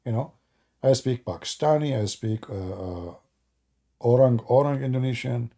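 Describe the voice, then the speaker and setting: A middle-aged man from the Middle East whose first language is Arabic, talking loosely on a live stream in broken English. His voice is very dark and mellow, with a gravelly fry running through it. He is sarcastic and teasing with his chat. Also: mid-range voice, normal speed. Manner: sarcastic and joking, mocks the chat. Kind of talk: casual live stream chat, answering comments.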